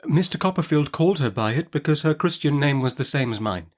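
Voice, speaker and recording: A man's voice: fairly high pitch, expressive, a little fast, voice pushed up, lightly acted. A man voicing a meek, tearful young widowed woman in a classic novel audiobook, with a faltering, plaintive and deferential manner.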